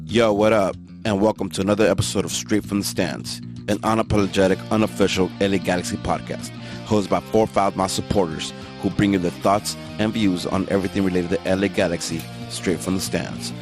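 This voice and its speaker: A man's voice: low voice, steady tone, a little fast, music in the background. A man reading a scripted podcast intro in a laid-back announcing manner. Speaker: podcast co-host.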